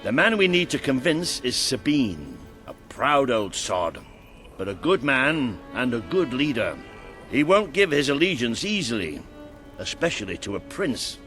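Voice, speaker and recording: An actor playing a gruff, seasoned British soldier mentor in a video game, speaking bluntly and with command. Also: male voice, mid-range voice, sing-song, a little slow, sharp tone, long reverb tail, soft background music. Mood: grim, earnest. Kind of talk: video game cutscene acting.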